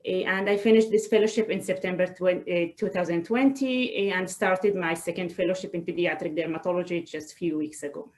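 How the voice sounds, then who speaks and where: A woman speaks on a webinar about herself. Her voice sits a little low. She talks haltingly, often pausing as she searches for the next phrase. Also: fairly even intonation. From Israel. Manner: hesitant, halting delivery with frequent pauses. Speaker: guest speaker, doctor. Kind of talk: personal talk in a webinar. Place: online video call.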